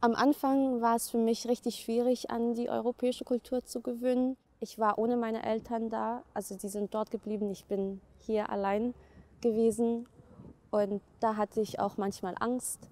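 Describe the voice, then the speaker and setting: Young Egyptian woman, mellow voice. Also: fairly high pitch, little pitch movement, normal speed. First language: Arabic. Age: young adult. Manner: earnest, with frequent fillers. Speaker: student. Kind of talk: interview monologue.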